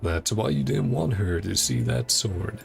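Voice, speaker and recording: Man, very gravelly voice, casual game streamer, clipped musing commentary, faint music behind. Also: lowish voice, lively intonation, unhurried. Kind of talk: casual gameplay commentary, paraphrasing on-screen story dialogue in clipped fragments.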